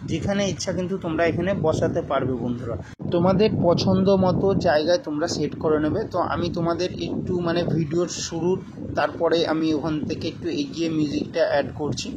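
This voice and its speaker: A man giving step-by-step instructions as a tutorial host, addressing viewers directly and repeating himself. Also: on the high side. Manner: repetitive instructional talk addressing viewers as friends. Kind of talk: spoken step-by-step phone app tutorial. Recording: loud background noise.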